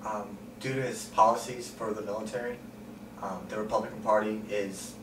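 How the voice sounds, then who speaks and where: Young man, low flat voice, calm answers with ums, distant mic in an echoey room, noisy. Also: moderate pace. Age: 20s. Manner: calm, plain-spoken, frequent ums. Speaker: interviewee. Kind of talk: sit-down interview.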